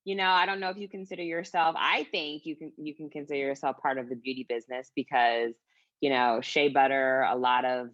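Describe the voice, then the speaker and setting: A woman's voice: deep voice, fairly bright tone, no room sound, highs cut off. A woman hosting a casual interview, chatty and full of fillers. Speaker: interviewer host.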